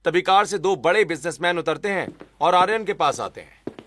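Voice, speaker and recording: A man's voice: high-pitched, animated, normal speed, fairly bright tone, soft background music. A man reading scripted narration for a cartoon story.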